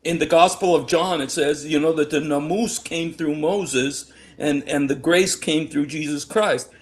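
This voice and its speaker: A man, raised in the United States, talking in a casual online panel discussion, emphatic and excitable, often restarting and repeating words. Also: on the high side, measured pace. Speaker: panel guest.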